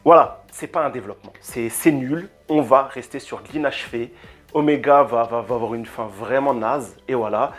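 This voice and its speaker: A man giving a casual, animated opinion rant as a video reviewer, hesitating and repeating words often, speaking into a handheld microphone. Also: mid-range voice, normal speed, soft background music. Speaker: YouTube reviewer.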